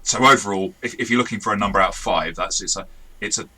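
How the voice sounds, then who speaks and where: A man with a very low but sharply bright, husky voice chats through a game review. He speaks haltingly and restarts his sentences as he sums up. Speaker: game master and co-host. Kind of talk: casual review chat.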